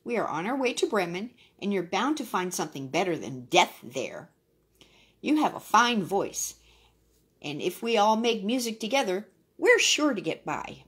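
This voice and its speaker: A woman plays an upbeat donkey from a storybook, a friendly leader with a slightly husky voice. The delivery swings widely in pitch and sounds encouraging and persuasive. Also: sing-song, normal speed.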